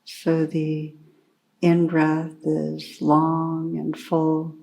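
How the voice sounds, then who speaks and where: A woman meditation teacher leading a guided meditation in a calm, unhurried way, gently instructing with long pauses. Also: deep voice, creaky.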